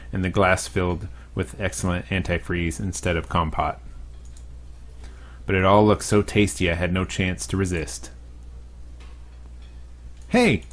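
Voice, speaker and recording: A young man reading a visual novel aloud as a let's play host, with dry, joking asides. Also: low-pitched.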